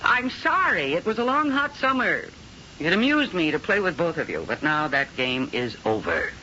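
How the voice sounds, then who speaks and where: A woman's voice: deep voice, big pitch swings, normal speed, bright, forceful tone, a little hiss or hum, exaggerated voice. An actress playing a glamorous, flirtatious woman singer in a 1970s radio drama, with a theatrical manner. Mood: teasing. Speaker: leading lady. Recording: muffled old radio broadcast with music.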